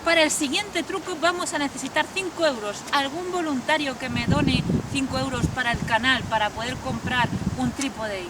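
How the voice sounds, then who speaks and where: A woman's voice: sits high, normal speed, hardly any pauses. A woman from the host's chair of an online special, chatting casually in a Spain accent, informal, bossy and teasing. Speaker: host of an online video special. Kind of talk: casual joking presenting to camera. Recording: very noisy outdoor recording with crowd.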